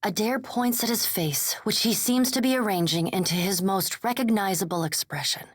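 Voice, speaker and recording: A woman's voice: medium pitch, moderate pace, no echo, studio quality, lightly acted. A woman narrating a fantasy video game in a composed, descriptive storytelling style.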